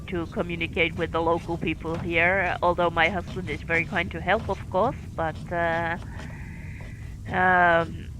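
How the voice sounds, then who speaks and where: A woman from Finland with a light Finnish accent, commentating her own live game stream, halting and filling gaps with fillers as she thinks aloud while playing. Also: fairly low pitch, a bit bright, slight background noise, low-quality recording. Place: home in France.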